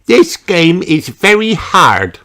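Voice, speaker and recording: A storybook reader as an excitable little girl pig, high-pitched. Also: takes it slowly, exaggerated voice. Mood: excited, boastful.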